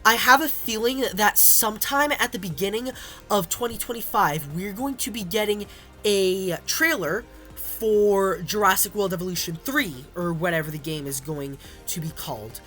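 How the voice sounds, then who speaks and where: Young woman, hoarse from a cold, raspy, chatty game commentary, full of ums. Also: mid-range voice, expressive, normal speed, fairly bright tone, faint music behind. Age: young adult. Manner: chatty, many ums and restarts. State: hoarse from illness. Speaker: gaming YouTuber.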